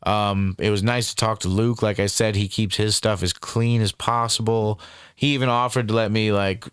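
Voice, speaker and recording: A man's voice: fairly low pitch, steady tone, moderate pace, a bit bright, clear, resonant tone, dry recording, clean studio sound. A man hosting a casual interview, rambling and swearing freely, with lots of fillers. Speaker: interviewer and channel host.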